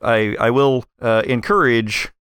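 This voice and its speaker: A man narrating an atmospheric tabletop horror game as game master, in a measured, deliberate way. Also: medium pitch, fairly bright tone, clean tone, dry recording, clean studio sound.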